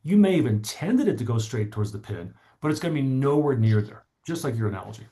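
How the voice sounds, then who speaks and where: A man's voice: mid-range voice, very animated, fast, dark tone. A middle-aged man speaking as an interview guest, explaining his story articulately and stressing points by repetition. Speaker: podcast guest, author.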